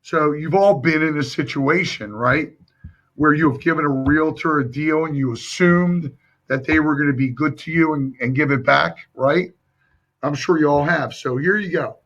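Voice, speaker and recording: Hoarse male sales coach, slightly high, emphatic and direct; dull stream audio. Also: normal speed, a bit bright. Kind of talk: live-stream sales training.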